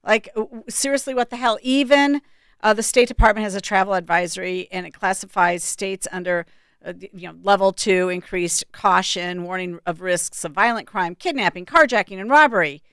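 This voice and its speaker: A woman co-hosts a radio talk show, blunt and exasperated in how she speaks. Her voice is smooth and clean. The studio sound is dry and close. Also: mid-range voice, moderate pace, a bit bright, dry recording. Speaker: radio co-host.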